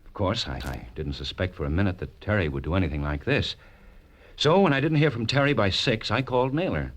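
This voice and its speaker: Ambitious, rattled businessman in his thirties, fairly deep, urgent delivery, vintage radio drama. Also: lively intonation, a little fast. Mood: worried, earnest. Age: 30s. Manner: hesitant, urgent, earnest. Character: anxious, ambitious young businessman in a blackmail jam. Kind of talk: 1940s radio drama acting.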